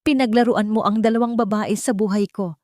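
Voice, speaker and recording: A woman voicing a composed, defiant young woman in an audio love drama, calm, cutting and deliberate. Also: mid-range voice, no echo, clean studio sound, voice pushed up, close to an everyday voice. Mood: cold accusation.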